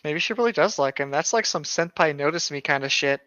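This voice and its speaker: A man with a smooth, clear voice reads and banters sarcastically. The recording is dry and a little dull, as from a close lo-fi microphone. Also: fairly high pitch, lively intonation, a little fast, pushed and bright, dry recording. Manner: sarcastic, joking. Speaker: co-host of a creepypasta reading show. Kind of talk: story reading with casual banter.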